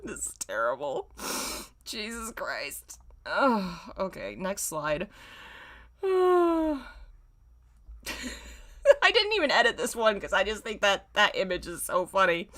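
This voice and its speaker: Bright-voiced young woman reading fiction playfully. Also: fairly low pitch. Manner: playful, theatrical, exasperated asides. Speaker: host reading old fan fiction aloud with commentary. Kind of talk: dramatic read-aloud with mocking, casual asides.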